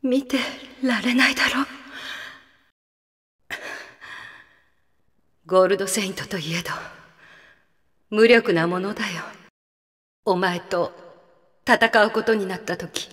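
A woman's voice: medium pitch, normal speed, pauses often, a bit bright, dry recording, clean studio sound, natural voice. An actress playing a proud, fierce young female warrior with hidden tenderness in an anime video game, tough and commanding in manner. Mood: weakened, gentle. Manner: tough, commanding, rough-spoken. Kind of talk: Japanese anime game voice acting.

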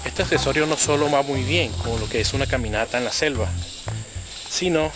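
A man in his thirties hosting a survival gear vlog, explaining a product outdoors in a forest in a clear, explanatory tone. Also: mid-range voice, a little fast. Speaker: survival gear channel host. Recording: music playing under the voice. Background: music.

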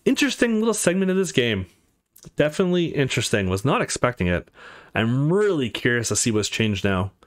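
A man hosting a let's play, reading a visual novel aloud and commenting in an expressive way. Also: mid-range voice, a little fast. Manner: expressive, animated read-aloud.

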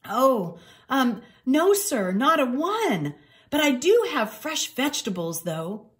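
A woman voicing a polite, kindly male cat farmer in a storybook, speaking gently and earnestly. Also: sits high, sing-song, slow, voice pushed up, exaggerated voice. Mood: earnest pleading.

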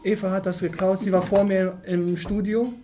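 A man's voice: high-pitched, flat delivery, moderate pace, background noise. A young man playing a suspect in a playful improvised role-play. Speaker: suspect in a game show. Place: indoor studio room at a table.

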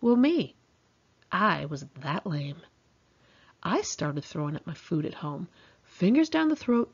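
A woman's voice: fairly low pitch, darkish tone, lo-fi sound. An American woman reading her own memoir in a conversational, candid and wry, self-mocking way. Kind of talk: scripted audiobook narration, conversational.

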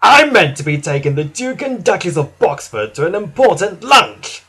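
A man's voice: on the high side, huge pitch swings, measured pace, a bit bright, slightly rough, exaggerated voice. A man voicing a haughty, impatient steam engine with a pompous, huffy manner in a children's storybook. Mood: cross.

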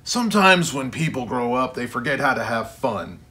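A man's voice: mid-range voice, lively intonation, normal speed, sharp tone. A man voicing a big, playful, easygoing imaginary friend with a breezy, enthusiastic manner in a storybook. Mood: wistful, easygoing.

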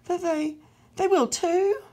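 A woman voicing Satan, a shrill, desperate fallen angel. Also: steady tone, slow pace, dark tone. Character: cunning fallen male angel, sneering and scornful.

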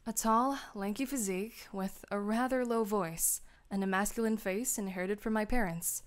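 A woman voicing a cool, princely teenage high-school girl in a manga dub, reserved, understated and polite, with a rather low voice. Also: normal speed, close to an everyday voice. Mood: reflective. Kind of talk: manga fandub acting with inner narration. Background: music.

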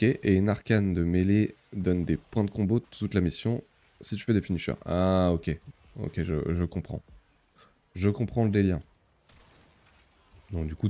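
A man's voice: low-pitched, mellow. A man in his thirties chatting casually while streaming a game, talking with lots of hesitant fillers and reading chat aloud. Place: small room with purple lighting.

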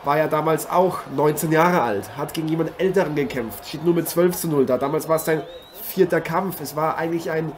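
A man's voice: a little high, a little fast, few pauses, close to an everyday voice. A man playing an eager, effusive TV boxing host in an improvised German audio drama. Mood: engaged. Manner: effusive, formal address to viewers. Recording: noisy arena ambience behind voice.

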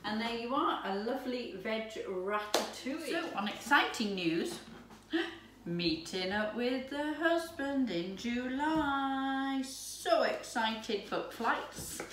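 A woman in her fifties chatting excitedly to camera while cooking in her home kitchen. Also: a little high, slow. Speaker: lifestyle vlogger. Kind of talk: casual chat to camera. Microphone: camera's built-in mic from a few steps away. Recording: echoey room, distant mic, background noise.

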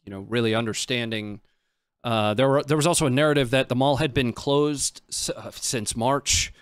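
A man's voice: medium pitch, normal speed, smooth, dry recording. Man with a close, dry studio sound. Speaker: live stream host and analyst. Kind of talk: casual live commentary on footage. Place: small indoor studio. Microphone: close desk microphone on boom arm.

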